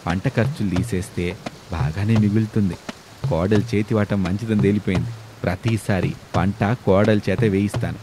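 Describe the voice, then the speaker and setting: A man playing an older village farmer, a father, with a gentle, musing manner. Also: lowish voice, steady tone, normal speed, background noise, pitched below the performer's own voice, close to an everyday voice. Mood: pleased, musing.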